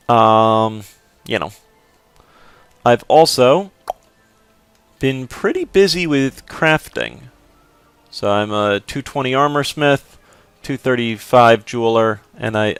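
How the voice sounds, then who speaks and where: A man casually commenting on his game playthrough, relaxed and rambling with fillers. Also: lowish voice, clear, resonant tone, dry recording. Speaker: gameplay commentator. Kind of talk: casual let's play commentary.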